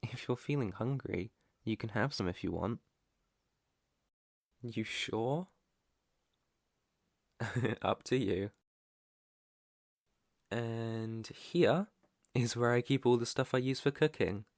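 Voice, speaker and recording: A British man playing an earnest, protective alpha werewolf in an ASMR roleplay, with a hesitant, stammering, soft-hearted way of talking and a creaky voice. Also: fairly low pitch, no room sound, clean studio sound. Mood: friendly, proud. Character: protective young male alpha werewolf, earnest, shy outcast.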